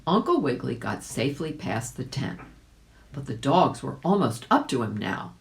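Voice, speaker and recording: A woman reading a children's story aloud in an expressive, animated way, addressing young listeners directly. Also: a bass voice, moderate pace. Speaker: volunteer audiobook reader.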